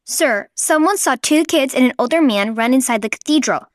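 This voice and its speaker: A woman voicing a dutiful policeman in a radio play, brisk and formal. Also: high-pitched, expressive, dry recording, clean studio sound.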